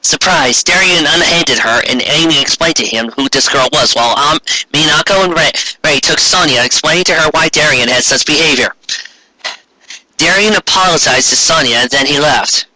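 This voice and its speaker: A man's voice: fairly high pitch, normal speed, pushed and bright, low-quality recording. A man narrating a story as a fan fiction reader, in a comic, dramatic reading style.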